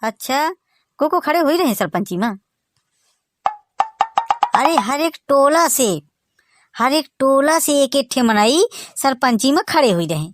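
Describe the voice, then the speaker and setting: A man with a Bagheli accent voicing a boastful village son-in-law in a comic cartoon, teasing in lively banter. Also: very high voice, takes it slowly, pauses often, very noisy, exaggerated voice. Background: music.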